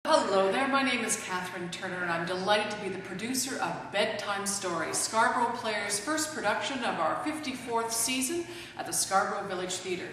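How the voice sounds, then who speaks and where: A woman's voice: mid-range voice, animated, normal speed, a bit bright. A middle-aged woman, a theatre producer, giving a promotional announcement to camera. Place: large indoor stage set, seen to camera. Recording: echoey hall, distant mic, faint noise.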